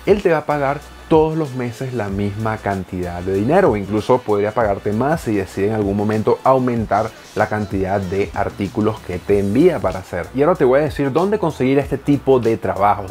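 Animated man explaining straight to camera. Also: on the low side, a little fast, hardly any pauses, faint music behind. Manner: animated, gestures a lot, addresses viewer directly. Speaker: online business youtuber. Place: home office with bookshelves, indoors.

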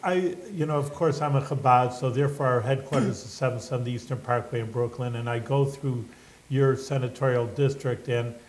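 A man's voice: medium pitch, steady tone, fairly quick, a little mellow, rough recording. An older man, a rabbi from Chicago, hosts a studio talk show. He chats in a friendly, rambling way, with a mid-range voice. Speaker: rabbi, host. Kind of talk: TV studio interview.

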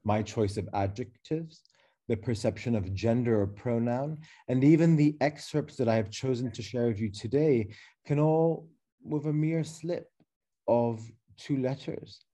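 A man in his thirties reading a prepared keynote as curator over a webcam video call, slow, measured and reflective, with pauses. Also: mid-range voice, dark tone. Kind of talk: reading a prepared lecture text. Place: dark room indoors.

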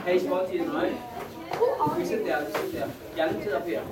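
A man's voice: sits high, fairly even intonation, normal speed, over a noisy crowd. A middle-aged man teaching a youth class, speaking in simple broken English and prodding the students to speak up. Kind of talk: classroom roll call. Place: small indoor classroom. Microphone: far camera at the back of the room. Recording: echoey room from far off. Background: teenagers chattering.

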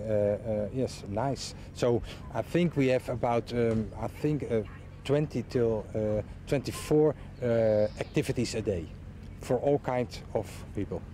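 A Dutch man in his forties answering interview questions in halting non-native English, searching for words. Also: mid-range voice, mellow, slight background noise. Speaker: campsite manager being interviewed. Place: outdoors among trees.

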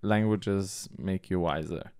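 A man's voice: sits low, slow, darkish tone, clear, resonant tone, no room sound. A man in his thirties from Germany hosting a podcast, asking easygoing questions and laughing often. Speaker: podcast host. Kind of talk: casual interview conversation. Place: podcast studio set. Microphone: studio mic on boom arm with headphones.

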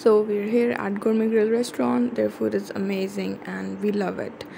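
A woman's voice: medium pitch, little pitch movement, normal speed, creaky, background noise. A young woman narrating a cheerful vlog, mixing English with Hindi-Urdu phrases. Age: young adult. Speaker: vlogger. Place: outdoors in village fields and in a restaurant. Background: music under the voice.

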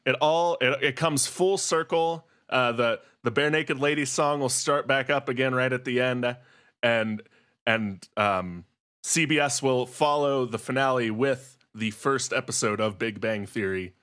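Male panelist, mid-range voice, casual, halting delivery, spinning joke theories in earnest. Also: medium pitch, a bit bright. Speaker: podcast panelist. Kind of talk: casual chat, joking theorizing.

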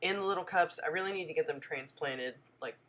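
Woman, low voice, stuffy nose from a cold, chatty voice-over, frequent ums. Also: fairly quick, fairly bright tone. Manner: chatty, friendly, many ums. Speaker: homestead vlogger. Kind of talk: casual voice-over narration.

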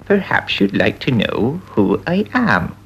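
A pompous little potato king who can't stop boasting about himself, slow and sing-song, with rhyming brags. His voice swoops up and down a lot, like an old children's puppet show. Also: mid-range voice, sing-song, creaky, slight background noise, heavily acted. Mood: boastful. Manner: pompous, self-admiring, sing-song rhymes.

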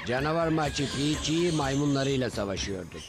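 A man's voice: medium pitch, animated, fairly quick, gravelly, over music. A man voicing an elderly retired superhero who recounts old adventures in a cartoon dub. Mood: reminiscing.

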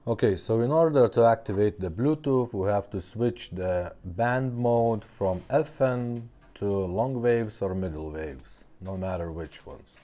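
A man's voice: lowish voice, measured pace, hardly any pauses, warm tone. A man casually demonstrating a product, explaining step by step in simple, non-native English phrasing. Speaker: presenter of a car radio repair shop demo. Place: indoors at a tabletop.

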